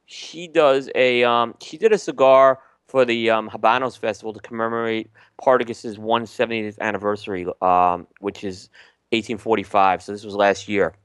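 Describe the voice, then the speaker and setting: A man's voice: on the low side, moderate pace, clear, resonant tone, no room sound. A man co-hosting a casual podcast, talking at ease with frequent ums and restarts. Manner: relaxed, many ums and restarts. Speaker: podcast co-host. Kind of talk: casual chat reviewing cigars.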